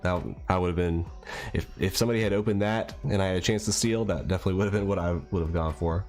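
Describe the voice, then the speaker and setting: A man with a slightly raspy voice from a cold, chatting casually and a bit hesitantly as he hosts a livestream. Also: low-pitched, quick pace, a little mellow, faint music behind. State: getting over a cold. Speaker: livestream host and card collector.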